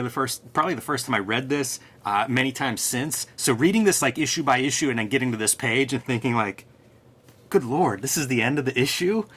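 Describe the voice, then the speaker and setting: A man's voice: mid-range voice, fairly bright tone, breathy edge. A man co-hosting a comics show, giving casual, enthusiastic and appreciative commentary in a conversational way. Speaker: co-host of a comics review show.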